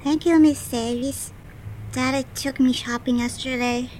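A young girl, polite and eager, voiced by a woman, in an audio drama. Her voice is high and childlike. There is faint background noise around it. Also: steady tone, unhurried, a little mellow, poor audio. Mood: polite, shy.

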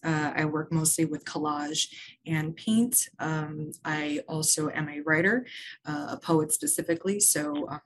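Low-voiced woman, halting and thoughtful. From United States. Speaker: panelist artist. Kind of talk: self-introduction.

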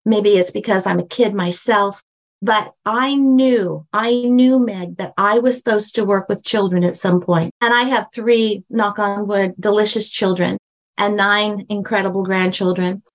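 Older woman guest, high voice, emphatic storyteller, dull lo-fi sound. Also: fairly even intonation, measured pace. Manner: emphatic, storytelling, repeats phrases for stress. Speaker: guest, brand strategist and book coach. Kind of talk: podcast interview.

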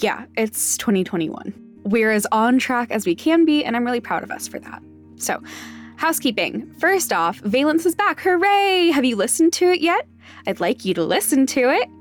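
A woman with a high-pitched voice runs through podcast housekeeping over music. The delivery is casual and playful, with a self-deprecating streak. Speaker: podcast editor and co-host.

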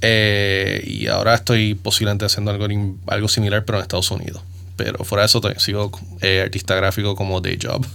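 A man's voice: on the low side, level tone, fast talker, creaky, bright, forceful tone. A man from Puerto Rico with a Puerto Rican accent, chatting casually and informally as a podcast guest. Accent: Puerto Rican Spanish. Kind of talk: casual chat.

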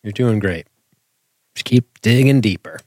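Low-voiced man, dry teasing recap host. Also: expressive, measured pace, dark tone. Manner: steers the talk, dry teasing humor. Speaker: podcast co-host. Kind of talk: casual TV episode recap chat.

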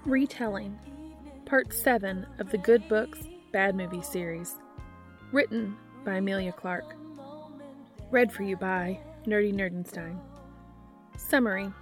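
A woman's voice: medium pitch, a little slow, long pauses, soft background music. A woman hosting and narrating fan fiction in a scripted read-aloud style. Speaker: podcast host and fan fiction reader.

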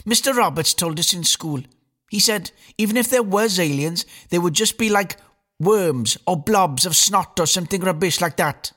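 A man's voice: sits high, animated, moderate pace, pushed and bright, voice pushed up, exaggerated voice. A man voicing a bossy, snappish nine-year-old girl in a read-aloud story. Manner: snappish and emphatic.

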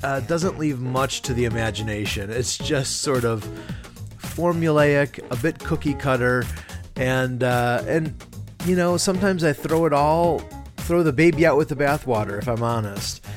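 A man's voice: medium pitch, normal speed. A man hosting a podcast, introducing his guests with enthusiastic, chatty talk and filler words. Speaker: podcast host. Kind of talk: show intro. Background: music playing.

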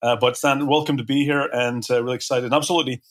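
A man of mid pitch hosting a podcast. He talks quickly and enthusiastically, with plenty of fillers. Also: fairly even intonation. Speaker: podcast host.

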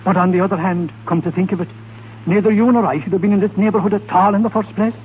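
An actor playing a loyal, excitable Irish rebel sidekick in a 1930s radio drama, earnest and reproachful, with a colloquial brogue. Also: male voice, high-pitched, fast talker, a little hiss or hum, natural voice. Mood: wry, relieved. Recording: tinny 1930s radio broadcast with music.

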